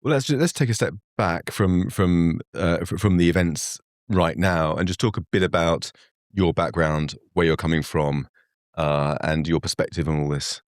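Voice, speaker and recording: A man's voice: low-pitched, steady tone, normal speed, no echo, clean studio sound. A man co-hosting a podcast, introducing the show and asking friendly, conversational questions. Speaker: podcast co-host.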